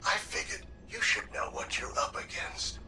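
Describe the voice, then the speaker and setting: An actor playing a snide, cynical little machine sidekick in a video game, brash, sarcastic and mocking. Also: male voice, normal speed, loud music behind the voice, lightly acted. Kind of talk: video game character dialogue. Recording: distant, with loud game noise behind.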